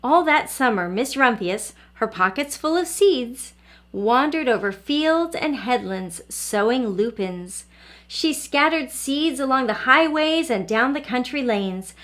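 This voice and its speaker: A woman's voice: high voice, animated, unhurried, fairly bright tone. A woman reading a children's picture book aloud as a teacher, expressively and addressing young listeners directly.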